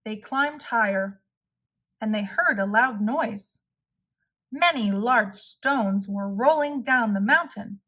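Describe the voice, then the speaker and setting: Female children's storybook narrator, fairly high voice, very slow and deliberate read-aloud pacing. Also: pushed and bright. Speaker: storybook reader.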